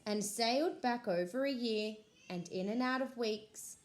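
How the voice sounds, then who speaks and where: A woman, a school librarian, reading a picture book aloud to children in a slow, expressive voice. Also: a little high, a little hiss or hum.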